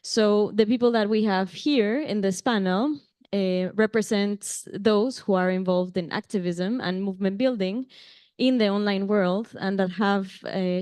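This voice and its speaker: A woman's voice: mid-range voice, clear, resonant tone, dry recording, studio quality. A woman from Mexico with a Mexican Spanish accent, a native Spanish speaker, moderating a conference panel in English with friendly laughs and many ums and restarts. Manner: warm, light laughs, frequent ums and restarts. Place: conference venue.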